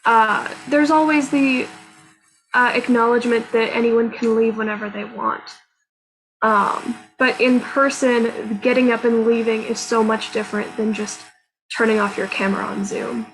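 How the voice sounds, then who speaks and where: A woman's voice: on the high side, steady tone, normal speed, a bit bright, low-quality recording. A woman moderating an online panel discussion over a video call, speaking conversationally to the group.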